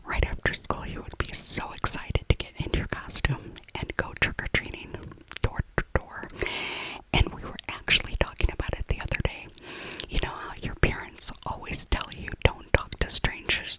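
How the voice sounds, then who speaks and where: A woman's voice: sits low, poor audio. A middle-aged woman whispers throughout, with no real voice behind the breath. She chats in a casual, musing ASMR voiceover style, often circling back to repeat a phrase. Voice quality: soft unvoiced whisper. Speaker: ASMR video creator.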